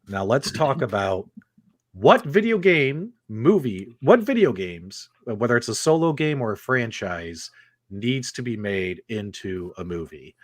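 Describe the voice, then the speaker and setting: A man's voice: lowish voice, a bit bright, no echo, clean studio sound. A man hosting a casual live show, chatting with callers in an effusive, chummy way.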